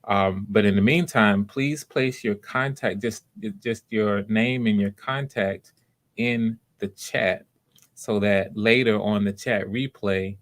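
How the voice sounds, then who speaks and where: A man hosting a livestream, explaining things casually in a halting way and often repeating himself. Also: lowish voice, fairly bright tone. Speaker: livestream host running voice auditions. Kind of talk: casual live announcements to viewers.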